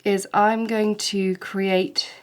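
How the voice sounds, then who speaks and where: A woman, a little snuffly with hay fever, talking through a watercolour painting tutorial in a gentle, chatty, encouraging way. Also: medium pitch, little pitch movement, drawn-out words. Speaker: watercolour art teacher.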